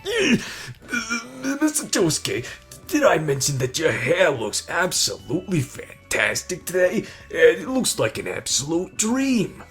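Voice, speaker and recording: A man's voice: high voice, wildly swooping pitch, measured pace, fairly bright tone, breathy edge, faint music behind, exaggerated voice. A man voicing a cocky but cowardly teenage school bully in an anime fan audio roleplay. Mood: nervous grovelling.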